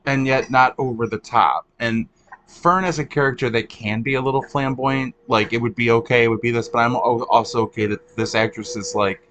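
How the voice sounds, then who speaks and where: A man's voice: medium pitch, fairly quick, a bit bright. A man hosting a casual reaction chat, talking in a rambling way with restarts. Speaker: host.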